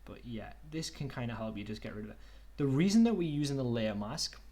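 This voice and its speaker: Brief: young male tutor, mid-pitched, easygoing and chatty, webcam video call. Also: a little fast. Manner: relaxed, chatty, says "okie dokie" and "kind of". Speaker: online workshop tutor. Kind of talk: casual screen-share tutorial.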